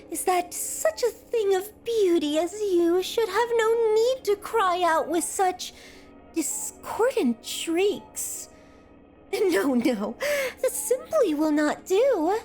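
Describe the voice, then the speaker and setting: A woman's voice: very high-pitched, a little mellow, full character voice. A woman playing an obsessive, doting yet menacing sculptor in an ASMR roleplay, speaking in a florid, grandiose, theatrical way. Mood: irritated, restrained. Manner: florid, pompous, drawn-out sweet menace.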